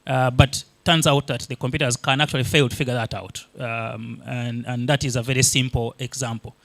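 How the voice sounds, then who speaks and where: A man's voice: mid-range voice, steady tone, brisk, creaky, a bit bright, no echo. A man from Uganda whose first language is Luganda, giving a conference talk and explaining things step by step with many fillers and restarts. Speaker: conference presenter.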